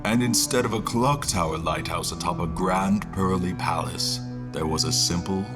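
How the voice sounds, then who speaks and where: Fantasy audio drama narrator: male actor as a reflective historian, very low voice, literary and measured, music underneath. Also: lightly acted. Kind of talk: scripted first-person story narration.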